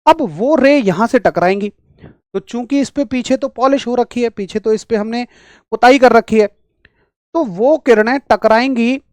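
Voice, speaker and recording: A male teacher with a rural Hindi accent and a sore throat, giving an energetic, chatty online physics class straight to his students. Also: very high voice, measured pace, no echo.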